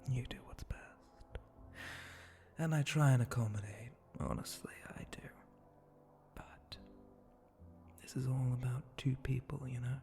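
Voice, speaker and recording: A man playing a flirty, teasing spider-monster bartender in an intimate ASMR roleplay, murmuring and often dropping into a breathy whisper. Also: medium pitch, mellow, natural voice. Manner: slow, teasing, murmuring, lingering pauses.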